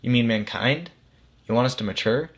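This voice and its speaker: A man's voice: mid-range voice, normal speed, close to an everyday voice. A bewildered middle-aged dead man in a storybook tale acted by a male reader. He sounds puzzled and questioning, in a mid-range male voice. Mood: incredulous.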